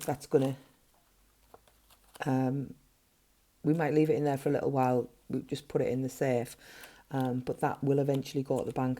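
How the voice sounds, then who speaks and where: A middle-aged woman with a gruff voice, chatting casually as a budgeting vlogger while counting money at a table at home. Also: rumbling low, dark tone. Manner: chatty, counting notes under her breath. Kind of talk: casual cash-stuffing vlog narration. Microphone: overhead camera framing hands.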